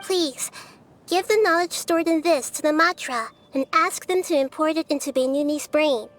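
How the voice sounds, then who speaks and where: A woman voicing a small, wise, gentle goddess who speaks in a measured, formal way in an anime game. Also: high-pitched. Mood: calm, businesslike. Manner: measured, soft-spoken, formal. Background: background music.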